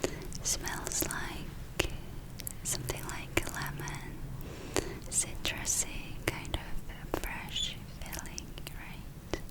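A woman whispers in a breathy, fully unvoiced hush. She speaks extremely slowly, leaving long pauses between short phrases. Her delivery is gentle and soothing, in the style of an ASMR personal-attention roleplay. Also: poor audio. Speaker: ASMR performer playing a witch spa therapist.

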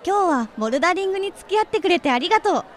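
Woman acting a high, lilting, friendly young woman. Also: animated, racing. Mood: chatty, candid. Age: 20s. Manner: chatty, casual, direct. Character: friendly, forward, sporty young woman, old classmate. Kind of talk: radio drama dialogue.

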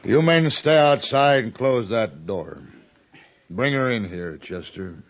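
An actor playing a bitter, selfish old rancher father in a 1950s radio western, with a raspy voice that moves between anguish and fury. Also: lowish voice, expressive, slow, background noise. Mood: furious, demanding. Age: older. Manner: volatile, from anguished shouts to broken murmurs. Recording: dull vintage radio recording.

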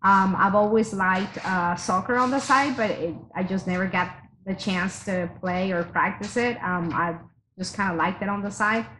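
A woman in her forties from Mexico, a teacher, talking through her background in a measured way with many fillers. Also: medium pitch, a bit bright. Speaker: teacher, interview guest. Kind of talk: casual interview. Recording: noisy background hiss.

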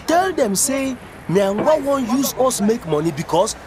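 Sly, high-voiced Nigerian trader. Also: male voice, sing-song, measured pace, full character voice. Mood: conspiratorial. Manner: conspiratorial, persuasive. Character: sly scheming male alcohol trader. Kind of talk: Nigerian Pidgin radio drama. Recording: loud crowd and music behind.

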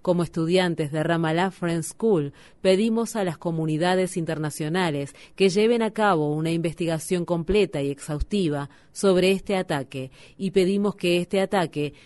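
A woman's voice: fairly low pitch, moderate pace, a little mellow. A woman reading scripted voice-over translations of statements for a news broadcast.

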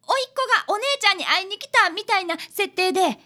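A woman's voice: very high-pitched, fast, pushed and bright. A woman voicing an earnest, polite fifth-grade boy, an apprentice detective who also narrates a radio drama. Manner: polite, eager, clearly enunciated.

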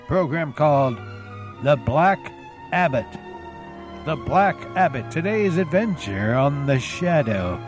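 Male show host: medium pitch, theatrical emphasis, clear mysterious music bed underneath. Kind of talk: scripted-sounding radio show introduction. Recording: loud background music under voice.

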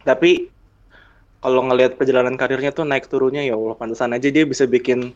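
A man with a Jakarta accent talks in a loose, slangy podcast style at an ordinary pitch. His delivery is bantering and conversational. The audio is a little dull and lo-fi. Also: steady tone, quick pace. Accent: Jakarta Indonesian. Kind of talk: casual podcast chat.

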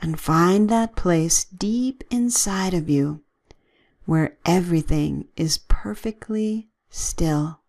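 A woman leading a guided relaxation with gentle, soothing, measured instructions and long pauses. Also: on the low side.

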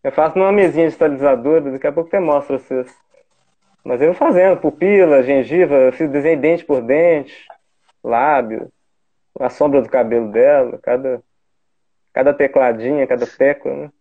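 A man's voice: mid-range voice, normal speed. A man, a digital artist, chatting casually and explaining every detail of his drawings with eager care. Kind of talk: casual chat. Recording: tinny phone-like line.

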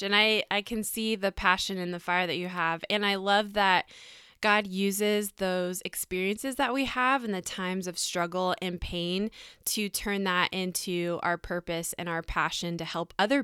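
A woman's voice: mid-range voice, fairly even intonation, a little slow, sharp tone, clear, resonant tone, no echo, studio quality. A woman hosting a podcast who interviews her guest with enthusiasm and encouragement and laughs often. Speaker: podcast host. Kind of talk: warm conversational interview.

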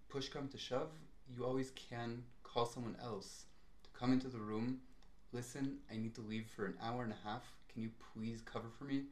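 A man's voice: mid-range voice, darkish tone. Thoughtful young man, roomy sound. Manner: measured, thoughtful, pauses to weigh words. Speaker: podcast co-host. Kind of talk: casual chat. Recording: roomy echo.

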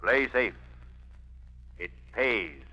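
An actor playing a calm, cunning, commanding crime-fighter with deliberate, dramatic delivery in a 1930s radio drama. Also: male voice, on the low side, exaggerated voice. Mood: ominous. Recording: dull, noisy 1930s radio recording.

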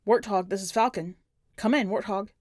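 A woman's voice: mid-range voice, moderate pace, a little mellow, no room sound, lightly acted. Woman as wounded young boy, pleading, wary, storybook dialogue, dry close recording. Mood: urgent play-acting.

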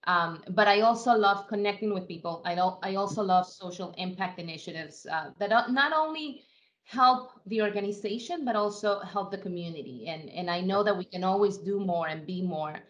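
A woman's voice: medium pitch, moderate pace, a bit bright. A woman from Ecuador, a guest in an interview, answering in an earnest, reflective way with frequent restarts.

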